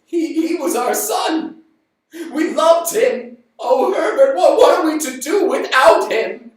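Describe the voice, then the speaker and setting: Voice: medium pitch, wildly swooping pitch, a little slow, pitched above the performer's own voice. A storyteller voicing a grieving old father who stammers. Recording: roomy, distant mic.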